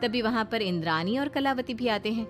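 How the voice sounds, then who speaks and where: A woman's voice: a little high, animated, fairly quick, music in the background. A woman narrating a scripted cartoon story.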